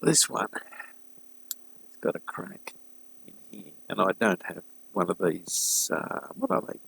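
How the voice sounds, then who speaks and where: A man giving a casual, unscripted hands-on repair walkthrough, thinking aloud haltingly and addressing viewers informally as a hobbyist host. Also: fairly low pitch, vocal fry, darkish tone, husky, no echo, rough recording. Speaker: hobbyist host of a vintage radio restoration series.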